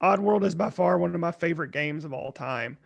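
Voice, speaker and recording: A man co-hosting a podcast, chatting casually about films and games in a blunt, joking way with halting restarts. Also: a little high, gravelly, no echo, highs cut off. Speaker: podcast co-host.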